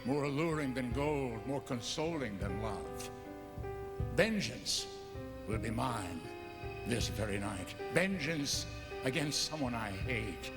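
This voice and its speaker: A man's voice: medium pitch, expressive, slow pace. An actor playing a vengeful Venetian nobleman who narrates a gothic audio drama, theatrical and brooding, seething beneath sly false courtesy. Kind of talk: melodramatic gothic radio drama narration and dialogue. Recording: music under the voice.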